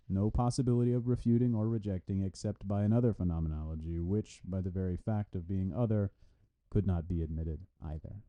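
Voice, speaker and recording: A man with a lowish, very dark and soft-edged voice reads a scholarly article aloud. He follows the written text closely, as a reader of academic prose does. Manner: reads punctuation and citations aloud. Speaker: reader of a translated philosophy article. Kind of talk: read-aloud of an academic book review.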